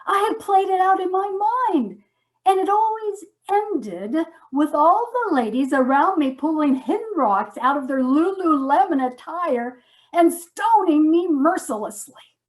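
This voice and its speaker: A woman performing a theatrical comic monologue as first-person storytelling, with dramatic, mock-solemn delivery and deliberate pauses. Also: high-pitched, sing-song, rough recording. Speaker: stage performer.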